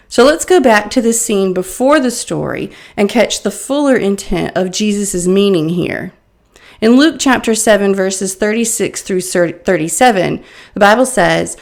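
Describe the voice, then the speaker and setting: A woman with a mid-pitched voice reads a prepared sermon. She explains and tells the story in a measured way, moving between narration and scripture reading.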